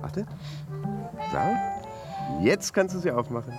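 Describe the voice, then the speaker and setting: Radio drama: charming, slippery husband, mid-pitched with lively swings, cajoling, music underneath. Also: male voice, slow pace. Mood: playful, excited. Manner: cajoling, glib, affectionate. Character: charming, evasive gambling husband, smooth-talking and playful.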